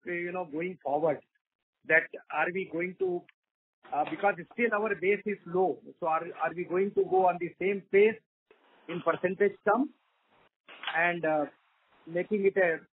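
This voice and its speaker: Through a narrow telephone line comes a husky, somewhat high-voiced man with an Indian accent. He puts questions as an analyst on an earnings call, politely and haltingly. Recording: phone-like radio-sounding conference line.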